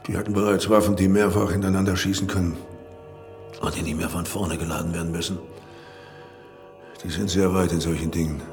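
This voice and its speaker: A blunt, low-voiced man. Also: steady tone, a little fast, pauses often, a little mellow, soft background music. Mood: blunt, insistent. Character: blunt male character arguing for powerful weapons. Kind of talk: radio drama acting.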